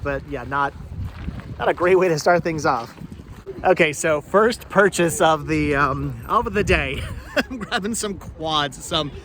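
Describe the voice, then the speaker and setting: A man's voice: a little high, a bit bright. A man chatting casually as he hosts a vlog, rambling and often repeating and restarting his phrases. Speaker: vlogger hosting a flea market thrifting video. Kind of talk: casual unscripted vlog chat to camera and companions. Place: outdoors at a busy flea market. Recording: noisy outdoor recording with vehicle ambience. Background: outdoor market ambience and vehicles.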